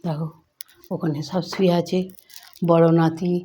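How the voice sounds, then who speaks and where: A woman's voice: sits low, little pitch movement, unhurried, dark tone, breathy edge. A middle-aged or older woman, chatting casually to viewers as she narrates her daily home life, on a slightly noisy handheld recording. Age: middle-aged or older, has grandchildren. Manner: chatty, addresses viewers as friends. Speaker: home vlogger.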